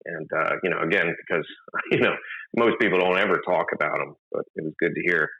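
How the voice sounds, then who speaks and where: A man's voice: deep voice, fast, pushed and bright, slightly rough, dull audio. A man from Maryland, a documentary filmmaker, talking as an interview guest with many fillers and restarts. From Maryland, USA. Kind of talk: conversational interview.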